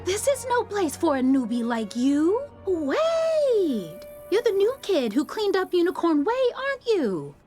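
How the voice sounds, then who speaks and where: An actress voicing a friendly, earnest young female wizard in a video game, expressive and chatty. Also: extremely high pitch, unhurried, hardly any pauses, full character voice. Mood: earnest, pleading. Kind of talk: video game character acting. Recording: game music behind the voice.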